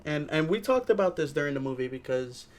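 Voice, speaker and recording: A man's voice: on the high side, expressive, fairly quick. A man co-hosting a casual film and video game chat, agreeable and ready to concede a point. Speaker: podcast co-host reviewing films.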